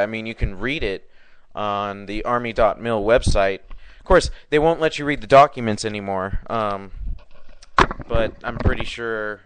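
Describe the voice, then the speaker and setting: A man's voice: lowish voice, smooth, poor audio. Man hosting a rambling talk show with a tired voice. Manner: rambling, many fillers and restarts. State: partly lost voice. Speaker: podcast host. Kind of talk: live political talk show monologue.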